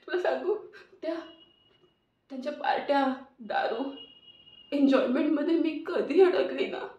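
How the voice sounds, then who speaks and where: A woman acting an emotional audition monologue as a remorseful young woman, tearful and halting as she pleads for forgiveness. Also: high voice, natural voice. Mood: guilty, distraught. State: crying. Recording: roomy, muffled, distant mic.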